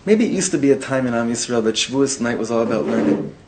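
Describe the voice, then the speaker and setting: A rabbi teaching a casual class to live students, animated and informal, dropping Hebrew and Yiddish words into his talk. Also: mid-range voice, fast talker, slight background noise, poor audio. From Israel. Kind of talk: casual spoken Torah class.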